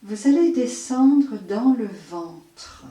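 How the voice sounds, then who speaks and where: A woman leading a guided yoga nidra meditation as an instructor, in measured short phrases with long pauses. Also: on the high side, expressive, dark tone. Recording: roomy echo, distant microphone.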